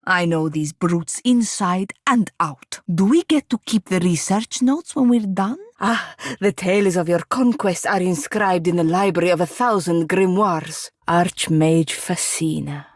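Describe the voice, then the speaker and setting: A woman's voice: on the low side, a little slow, few pauses, dry recording. A woman playing a proud, long-suffering wizard's apprentice in a video game, with formal wording and dry, biting sarcasm. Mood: dry, sardonic. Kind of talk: video game character voice acting.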